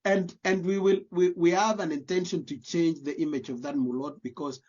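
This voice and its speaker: A man's voice: fairly high pitch, steady tone, moderate pace, a little mellow. A Kenyan man with a Kenyan accent, answering interview questions as a diaspora leader, earnestly repeating words as he searches for phrasing. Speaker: guest, diaspora community leader. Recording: dull lo-fi audio.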